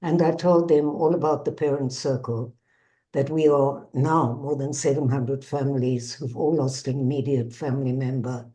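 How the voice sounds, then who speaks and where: An older woman with a South African accent gives a measured, earnest personal testimony as a bereaved mother and peace activist. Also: rumbling low, steady tone, dark tone, poor audio. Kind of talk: personal testimony to an online audience.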